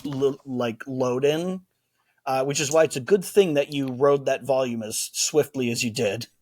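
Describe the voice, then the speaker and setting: A man's voice: mid-range voice, normal speed, darkish tone. Enthusiastic man chatting on a podcast. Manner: enthusiastic, nostalgic, talks in restarts. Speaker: podcast co-host. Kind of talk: casual chat.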